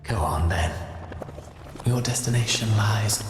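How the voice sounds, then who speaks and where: A man's voice: fairly low pitch, takes it slowly, music in the background. An actor playing an ominous godlike villain in a video game, grandiose and taunting as he preaches doom and loss. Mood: solemn, menacing. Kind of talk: theatrical video game monologue.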